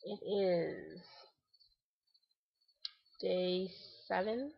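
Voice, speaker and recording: A woman's voice: fairly low pitch, extremely slow, creaky, slightly rough, no echo, highs cut off. A woman with a congested, stuffy nose gives a chatty, rambling video diary update, full of ums and restarts. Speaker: vlogger. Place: covered back deck outdoors.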